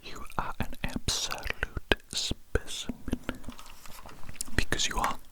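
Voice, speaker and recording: A woman whispering breathily and soothingly close to the microphone in an ASMR doctor roleplay, often softly repeating her phrases. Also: slow pace.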